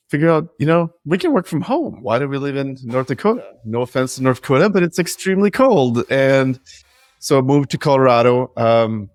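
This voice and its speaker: A man's voice: medium pitch, no echo, studio quality. A man in his forties who grew up in Norway, talking candidly and with self-deprecating humor as a guest in an interview. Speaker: guest, business founder. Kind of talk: casual interview.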